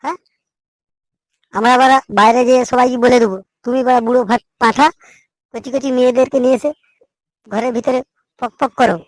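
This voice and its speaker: A woman's voice: high voice, steady tone, a little fast, pauses often, dry recording. A woman voicing a brash, foul-mouthed young male worker in a comedy cartoon, full of complaints. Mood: vengeful. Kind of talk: Bengali comedy cartoon dub.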